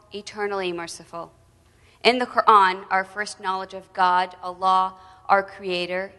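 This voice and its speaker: A woman delivering a prepared spoken reflection, deliberate and solemn, in poetic phrases. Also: mid-range voice, sharp tone. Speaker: speaker telling a personal faith story. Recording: long hall reverberation.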